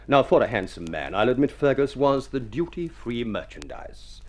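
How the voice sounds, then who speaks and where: Ugly silver-tongued adventurer, wry and florid, highly animated delivery, vintage radio drama. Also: male voice, moderate pace. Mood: wry, boastful. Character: ugly, eloquent, self-mocking male adventurer and storyteller. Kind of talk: mid-century radio drama acting.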